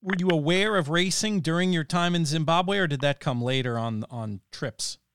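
A man's voice: on the high side, moderate pace, a bit bright, a little hiss or hum. A man hosting an interview, asking questions in a conversational way. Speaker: interviewer, host.

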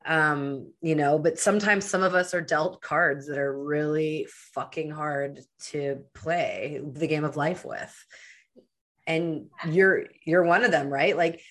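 Low-voiced gushing woman host. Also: steady tone, slow. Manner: gushing, enthusiastic, rambling with fillers. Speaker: podcast host. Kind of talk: casual podcast interview.

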